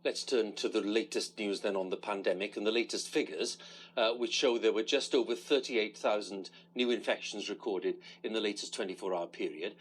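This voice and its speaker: A man's voice: lowish voice, slight background noise. A middle-aged British man reading the news formally as a studio anchor.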